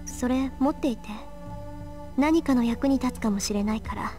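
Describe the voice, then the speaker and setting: Actress doing bossy anime mage girl, high voice, talks fast, sharp tongue, scolding. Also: faint music behind, lightly acted. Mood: serious. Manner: brisk, assertive, scolding. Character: bossy, sharp-tongued young female mage with hot temper. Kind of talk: anime-style visual novel acting.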